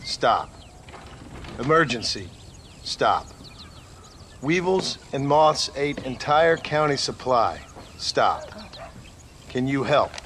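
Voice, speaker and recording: An actor playing a plainspoken, laconic reformed gunslinger and husband with an American frontier drawl, in a video game. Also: male voice, medium pitch, very animated, slow pace, long pauses, fairly bright tone, slight background noise. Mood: wry, defensive. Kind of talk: video game dramatic dialogue.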